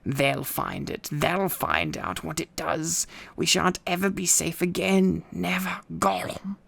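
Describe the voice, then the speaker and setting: A man voicing a wretched, obsessive cave creature in a storybook reading, muttering repetitively to itself in broken grammar with a hissing, raspy whisper. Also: high voice, slow pace, fairly bright tone, dry recording, pitched above the performer's own voice, full character voice. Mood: fearful, urgent. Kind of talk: acted audiobook reading.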